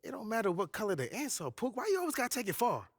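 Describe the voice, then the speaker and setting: A young male basketball star full of swagger for a video game. He is boastful and dismissive, talking very rapidly with lively pitch. The sound is dry and close. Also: no room sound, heavily acted. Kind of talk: comic video game cutscene acting.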